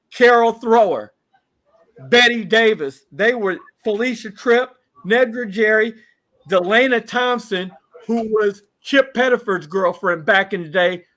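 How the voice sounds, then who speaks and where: A man in his fifties, a guest in a casual interview, reminiscing and rattling off shout-outs to old friends. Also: high-pitched. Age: 50s. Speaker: podcast guest.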